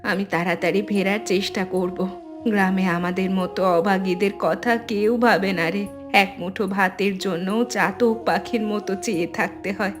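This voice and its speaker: A woman's voice: mid-range voice, fairly even intonation, moderate pace, natural voice. A woman playing a weary, long-suffering poor village mother in a melodramatic cartoon, plaintive and tender in manner. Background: loud music.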